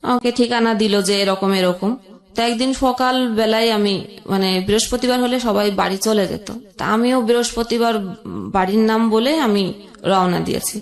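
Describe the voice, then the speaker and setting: Halting young woman, echoey room. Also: fairly high pitch. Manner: halting, with pauses and restarts. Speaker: guest telling her life story. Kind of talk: emotional personal storytelling.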